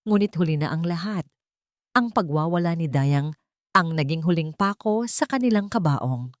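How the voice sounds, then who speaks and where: Filipina storyteller, lower-pitched, dry sound. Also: female voice, animated, normal speed, dry recording. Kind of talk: scripted dramatic story narration.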